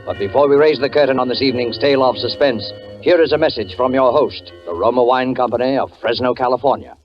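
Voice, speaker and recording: A man's voice: mid-range voice, fast. A man introducing a 1940s radio suspense show as host, formal and dramatic. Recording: muffled 1940s radio, music behind.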